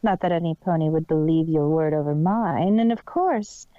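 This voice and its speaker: A woman's voice: low voice, normal speed, dry recording, phone-quality sound, natural voice. A woman voicing a smug, scheming female pony villain in a fan fiction reading, boastful and matter-of-fact. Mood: coolly menacing. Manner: matter-of-fact, self-satisfied.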